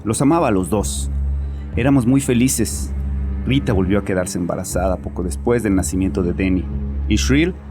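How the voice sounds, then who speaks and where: A man's voice: fairly low pitch, close to an everyday voice. An actor playing a bitter, anguished man in his late twenties confessing to a therapist in an audio drama, defensive and scornful. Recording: music under the voice.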